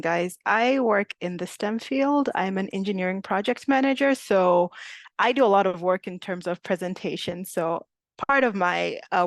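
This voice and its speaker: A woman's voice: mid-range voice, no echo. Young woman from Uganda presenting on a video call, chatty with lots of fillers, dry close sound. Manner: chatty, many fillers and small laughs. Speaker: webinar presenter. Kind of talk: casual webinar presentation.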